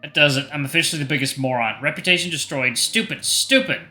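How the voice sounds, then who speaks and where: A man's voice: on the high side, quick pace, pushed and bright, soft background music. A man voicing a flustered, self-deprecating newcomer in a story reading. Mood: flustered, self-scolding.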